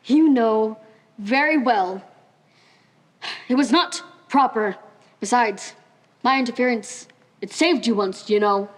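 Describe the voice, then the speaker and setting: A woman's voice: on the high side, takes it slowly, pauses often. A teenage female student acting on stage as a stern, proper Victorian male doctor. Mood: impatient. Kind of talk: high school stage play acting.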